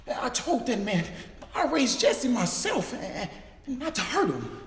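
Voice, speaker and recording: A man acting as a plainspoken father in a dramatic reading, recalling what he witnessed in a halting manner. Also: very high voice, big pitch swings, heavily acted. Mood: earnest, halting. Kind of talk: dramatic reading of historical testimony. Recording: echoey hall.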